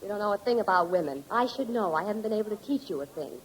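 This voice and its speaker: A woman playing a sharp, teasing American newspaperwoman with a wry, pointed delivery in 1940s radio drama style. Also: mid-range voice, lively intonation, rapid-fire, close to an everyday voice, rough recording. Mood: scolding, teasing. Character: sharp, teasing newspaperwoman on the police desk, skeptical, caring. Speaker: radio actor.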